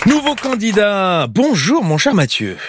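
Lively teasing male quiz host. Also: high-pitched, a little slow, breathy edge, faint music behind. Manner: teasing, lively, joking with the contestant. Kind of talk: playful quiz hosting.

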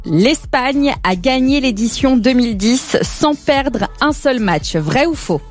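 Female quiz presenter, fairly high voice, casual live radio questioning over soft music. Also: lively intonation, slow. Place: radio studio.

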